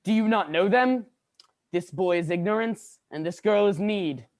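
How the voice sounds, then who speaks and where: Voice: medium pitch, normal speed, natural voice. Student playing stern, declaiming Christmas ghost. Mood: solemn. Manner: solemn, declamatory. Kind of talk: radio play acting.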